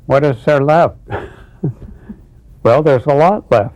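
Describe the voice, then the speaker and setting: An eighty-one-year-old man, an author, speaking reflectively in an interview, haltingly, phrase by phrase. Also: mid-range voice, a little mellow, lo-fi sound.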